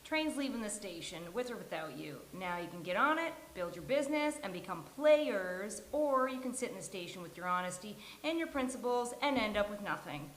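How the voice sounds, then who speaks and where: A woman's voice: medium pitch, animated, fairly quick, hardly any pauses, slight background noise, lightly acted. A woman playing a brusque, impatient, cynical businesswoman in a live sitcom table read. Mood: commanding, cynical. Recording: roomy live venue sound.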